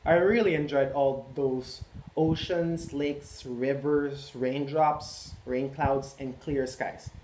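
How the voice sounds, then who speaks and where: A man's voice: medium pitch, fairly even intonation, takes it slowly, darkish tone, close to an everyday voice. A man voicing a tired, worn-out stubby blue crayon reading its letter. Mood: weary. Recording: echoey room, faint noise.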